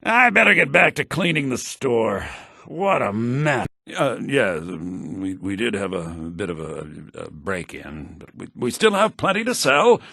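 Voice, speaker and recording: An actor playing a fussy, fretful shopkeeper in a fantasy video game, with a flustered, earnest manner. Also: male voice, mid-range voice, sing-song, unhurried, creaky, pushed and bright, dry recording, studio quality, heavily acted. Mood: grateful, relieved.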